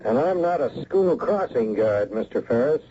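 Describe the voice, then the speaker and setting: An actor playing a blunt, authoritative government agent in a radio mystery, with terse official speech. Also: male voice, mid-range voice, expressive, normal speed, warm tone, close to an everyday voice. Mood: stern. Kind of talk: old-time radio mystery acting. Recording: muffled, hissy old radio recording.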